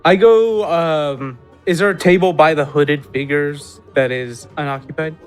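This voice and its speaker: A man with a fairly high voice plays a tabletop game. He speaks informally, stating actions and asking questions, with light music behind. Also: a little slow, clean tone. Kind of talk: casual game talk.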